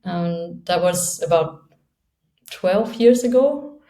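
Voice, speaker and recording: A woman's voice: fairly low pitch, slow, mellow, echoey room. A young woman from Austria with an Austrian accent, an athlete talking casually in an interview and laughing often between phrases. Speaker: guest, downhill skateboarder.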